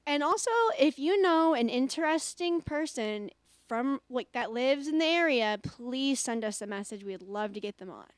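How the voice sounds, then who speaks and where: A woman's voice: high voice, expressive, normal speed, dry recording. A woman in her late twenties hosting a podcast in a casual, chatty style. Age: late 20s. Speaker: podcast host.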